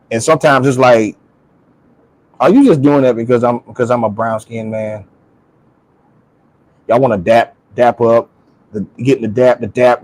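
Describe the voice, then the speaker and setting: A man's voice: medium pitch, darkish tone, dry recording, poor audio. A man talking casually and with strong opinions, emphatic and repeating phrases for stress. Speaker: talk show speaker.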